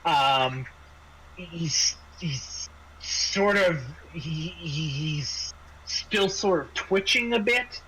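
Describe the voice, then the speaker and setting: A man running a tabletop game as game master, narrating off the cuff in a halting way with repeated words. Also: fairly high pitch, expressive, sharp tone, slightly rough. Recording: noisy home microphone.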